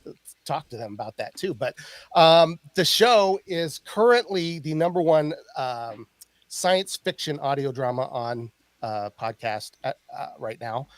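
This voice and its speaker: A man's voice: a little high, lively intonation, measured pace, no echo. A man hosting a podcast, chatting casually with lots of fillers and restarts. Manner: rambling, filler-heavy. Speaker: podcast host. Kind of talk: casual chat.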